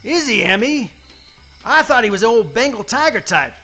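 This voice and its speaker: A man playing a blustering, boastful alligator in a live comic radio play, with a gravelly voice and folksy Southern swamp dialect. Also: very high-pitched, very animated, moderate pace, pushed and bright, faint music behind, full character voice. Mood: blustery. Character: blustering, boastful adult male cartoon alligator playing sheriff.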